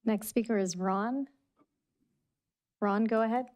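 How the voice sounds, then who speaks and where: A woman acting as meeting clerk, calling up speakers in a brisk, businesslike way. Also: on the high side, fairly even intonation, darkish tone. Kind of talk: procedural announcements.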